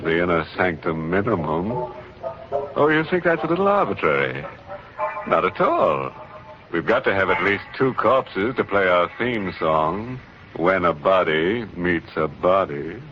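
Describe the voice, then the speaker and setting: A man's voice: deep voice, big pitch swings, a little slow, noisy background. A man hosting a 1940s radio horror show in a droll, mock-sinister drawl. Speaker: host. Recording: muffled 1940s radio recording.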